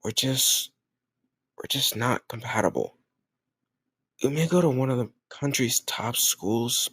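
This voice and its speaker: A man's voice: mid-range voice, no room sound. A man playing a cocky, popular teenage football captain bully with a secret tender side, teasing and hesitant in an intimate audio roleplay spoken to the listener. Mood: quieter, conflicted. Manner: teasing, smug, hesitant murmured asides.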